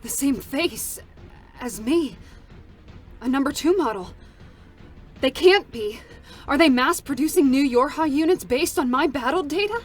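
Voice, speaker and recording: A vengeful female android deserter from a game, grim and defiant. Her voice sits on the high side and is charged with bitterness. Music plays behind her. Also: animated, unhurried, long pauses. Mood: shocked. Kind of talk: dramatic stage reading of a game character.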